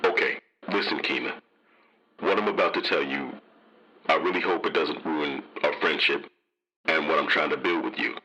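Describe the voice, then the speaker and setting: An actor playing a smooth-talking but secretive suitor in audio drama, placating and careful on a phone call. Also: male voice, rumbling low, steady tone, normal speed, pauses often, sharp tone, exaggerated voice. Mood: nervous, earnest. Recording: dull, lo-fi, radio-like phone sound.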